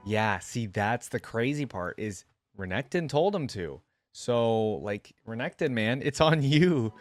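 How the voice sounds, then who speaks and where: A man's voice: mid-range voice, lively intonation, measured pace, no echo, faint music behind. A man hosting a reaction video, reading aloud and musing informally in casual commentary.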